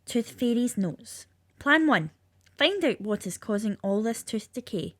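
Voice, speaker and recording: A woman's voice: on the high side, expressive, unhurried, a bit bright, no room sound, studio quality. A woman with a lilting, sing-song delivery, reading a rhyming children's story aloud.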